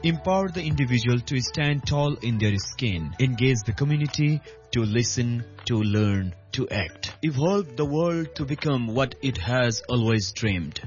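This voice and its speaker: A man narrating a scripted inspirational radio show intro with solemn, deliberate rhetorical emphasis. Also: mid-range voice, animated, a little mellow, dull audio, faint music behind.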